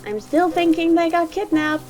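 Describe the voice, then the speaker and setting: A woman's voice: very high voice, expressive, normal speed, darkish tone. A woman voicing a casual, eager youngster in an audio drama.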